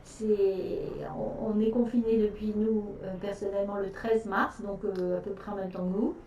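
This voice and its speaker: A woman's voice: medium pitch, little pitch movement, moderate pace, dark tone, distant mic, dull audio, slight background noise. A middle-aged French woman talking casually on a live stream, hesitant, with many fillers and restarts. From France. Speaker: nutrition specialist hosting a live stream. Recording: echoey room, voice off-mic.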